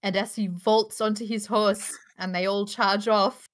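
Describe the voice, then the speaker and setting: A woman's voice: medium pitch, little pitch movement, measured pace. A woman reading stage directions as narrator in a live script table read. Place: indoors, on a group video call.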